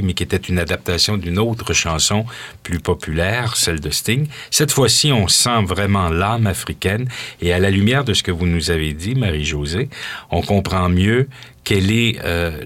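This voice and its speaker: Low-voiced man hosting a radio music show. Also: a bit bright. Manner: measured, informative, occasional hesitations. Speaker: radio host.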